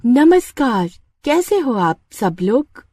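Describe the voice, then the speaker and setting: A woman's voice: a little high, big pitch swings, slow, dark tone, breathy edge, dry recording, clean studio sound, heavily acted. A woman voicing a cheerful, earnest young English bride who mixes English phrases into her Hindi in a moral story. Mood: cheerful, polite. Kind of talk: animated moral story dialogue.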